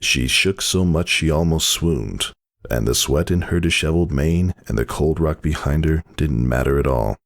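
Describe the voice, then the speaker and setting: A man giving a dramatic, theatrical story narration with intense, measured phrasing. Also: a bass voice, creaky.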